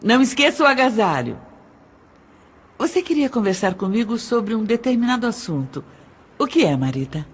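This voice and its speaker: A woman's voice: a little high, sing-song, moderate pace, slightly rough. A woman voicing a haughty middle-aged stepmother with a condescending motherly sweetness, in an audio drama, with a Brazilian accent. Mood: sweetly maternal. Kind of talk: Portuguese-language audio drama acting.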